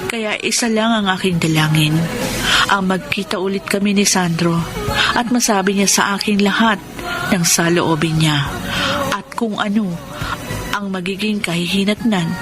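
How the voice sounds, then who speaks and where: Casting: actress as lovesick young Filipina daughter, medium pitch, heartfelt radio drama delivery over music. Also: female voice, normal speed, pauses often, natural voice. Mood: lovelorn, sad. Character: lovesick, sorrowful young woman, dutiful daughter.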